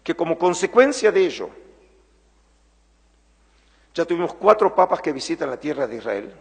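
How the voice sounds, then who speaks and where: Middle-aged Argentine lecturer, husky tone, earnest and measured, stretches key words. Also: male voice, a little high, sing-song, a little mellow. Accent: Argentine Spanish. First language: Spanish. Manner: measured, earnest, slows down for emphasis. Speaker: lecturer, museum curator. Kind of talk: public lecture. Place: large former synagogue hall. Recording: long hall reverb.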